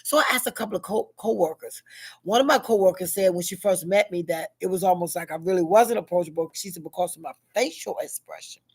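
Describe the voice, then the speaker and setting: A woman in her sixties with a deep, heavy voice, chatting casually in a vlog, rambling with many fillers and questions to herself. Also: quick pace, darkish tone, husky. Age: 60s. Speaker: vlogger. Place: at school.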